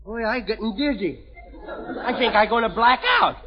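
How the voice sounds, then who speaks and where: A man's voice: extremely high pitch, moderate pace, over a noisy crowd. A man playing a mischievous little boy in 1940s radio comedy, talking in childish, ungrammatical baby talk. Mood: silly. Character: mischievous little boy Junior, bratty and cheeky. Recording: noisy lo-fi vintage radio broadcast.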